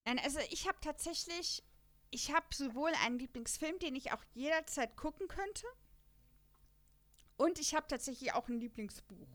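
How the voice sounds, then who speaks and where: Woman, higher-pitched, casual podcast chat, animated, rambling, giggly. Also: moderate pace. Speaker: podcast co-host.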